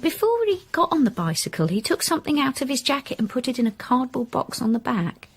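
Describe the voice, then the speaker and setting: An actress playing an ordinary, helpful eyewitness in a radio drama, recalling details in a matter-of-fact way. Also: female voice, high-pitched, big pitch swings, fairly quick, slightly rough, exaggerated voice. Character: ordinary, helpful female eyewitness recounting what she saw.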